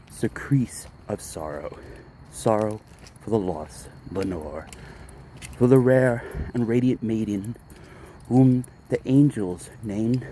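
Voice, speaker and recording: A man, an amateur reader, recites Gothic poetry aloud in measured, dramatic phrases with pauses while out walking. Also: fairly low pitch, mellow. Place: outdoors, walking a dog. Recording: outdoor street noise, vehicles, jangling keys.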